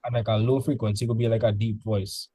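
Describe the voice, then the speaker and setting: A man's voice: lowish voice, steady tone, fast, dark tone, breathy edge, no room sound, clean studio sound. A male teacher tutoring students in a casual session, full of "like" fillers, quizzing them with questions as he goes.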